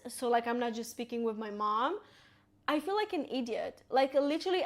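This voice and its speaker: Woman in her 20s, italian accent, high-pitched, chatty streamer, lots of "like". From Ukraine. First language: Ukrainian. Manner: chatty, talks to viewers and reads chat aloud, lots of "like". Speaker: live streamer. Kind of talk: casual live-stream chat while cooking.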